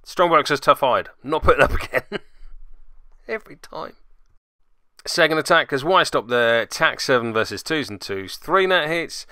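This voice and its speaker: A man's voice: fairly high pitch, animated, normal speed, bright, forceful tone, no room sound, studio quality. A man giving wry voice-over play-by-play commentary on a tabletop miniatures game, with self-deprecating asides.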